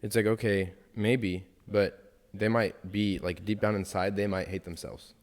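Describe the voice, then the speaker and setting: A man's voice: lowish voice, little pitch movement, a little slow, big-room sound. A young man co-hosting a casual podcast, talking earnestly and reflectively, sometimes acting out what other people say. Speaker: podcast co-host.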